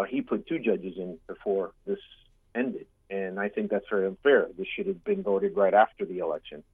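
A man born in Cuba, calling in to a radio show, speaking in an earnest, halting way that often repeats itself. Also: lowish voice, breathy edge, no echo. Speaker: caller. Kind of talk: call-in over the phone. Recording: tinny phone line.

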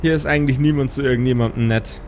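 A man's voice: mid-range voice, fairly even intonation, quick pace, a little mellow, a little hiss or hum, natural voice. A man voicing a kind, slightly melancholic clone woman who speaks politely and a little hesitantly in an improvised audio play. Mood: gentle, resigned.

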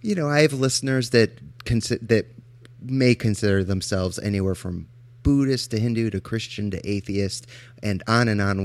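Gravelly low-voiced male podcast host. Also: dry recording. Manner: warm affirming interviewer, many ums. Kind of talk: podcast interview.

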